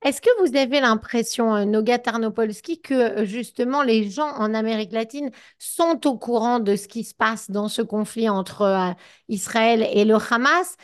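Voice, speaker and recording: A woman with a fairly high voice conducts a broadcast interview. She builds long questions in a conversational way, pausing and rephrasing as she goes. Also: smooth.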